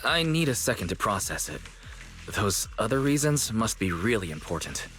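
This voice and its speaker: A man's voice: fairly low pitch, very animated, moderate pace, fairly bright tone, faint music behind. An actor playing a curious, easygoing young male companion in a video game, conversational and inquisitive. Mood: thoughtful. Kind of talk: video game voice acting, dialogue.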